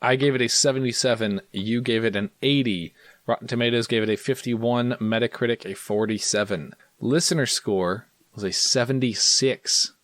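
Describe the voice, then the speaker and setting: A young man co-hosting a casual movie podcast, animated and jokey, often poking fun at himself. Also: medium pitch, normal speed, no echo. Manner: animated, jokey, self-deprecating. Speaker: podcast co-host.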